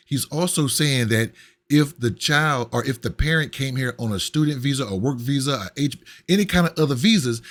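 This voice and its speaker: A man giving heated, blunt political commentary, profane at times and often repeating himself. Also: mid-range voice.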